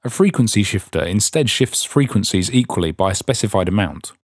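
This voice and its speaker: A man's voice: on the low side, expressive, a little fast, slightly rough, dry recording, clean studio sound. A man reading scripted instructional narration as the narrator of a tutorial.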